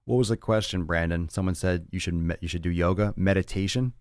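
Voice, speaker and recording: Fitness podcast host, male, very low voice, blunt and profane, dry close mic. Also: a little fast, a little mellow, no room sound. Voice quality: raspy on some days. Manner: blunt and profane, rambling with restarts. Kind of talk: casual solo talk answering listener chat.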